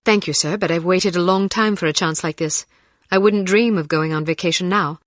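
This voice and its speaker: A fairly low female voice with a British accent, recorded dry, plays a young secret agent as voiced by a game actress. She is composed, principled and drily witty. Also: moderate pace, no echo, natural voice. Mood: polite, wry. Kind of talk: video game voice acting.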